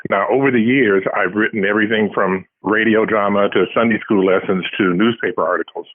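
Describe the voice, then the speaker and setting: A man's voice: on the low side, steady tone, fairly quick, no echo. An older man, a guest author in an interview, talking casually and telling stories in a conversational way over a narrow phone line.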